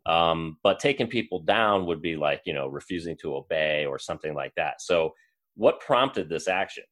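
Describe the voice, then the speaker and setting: A man hosting an interview and asking his guest measured follow-up questions. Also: low-pitched, animated, a bit bright. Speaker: host.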